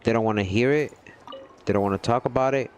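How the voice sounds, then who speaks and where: A man's voice: mid-range voice, fast, slight background noise. A man chatting casually with his livestream viewers, earnest and rambling, often checking in with "you know what I mean". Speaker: gaming livestreamer.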